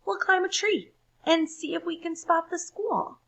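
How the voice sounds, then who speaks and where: A woman voicing an eager, excitable schoolboy full of confident schemes in a storybook. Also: very high-pitched, unhurried, gravelly, voice pushed up, exaggerated voice.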